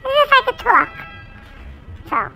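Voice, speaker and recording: A chatty six-month-old boy Sheltie puppy, as someone puts on his voice, rambling on in an earnest, childlike way. The voice sits high and shines bright and sharp, like a small pup. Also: wildly swooping pitch, measured pace, vocal fry, soft background music, heavily acted. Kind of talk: talking-pet video monologue.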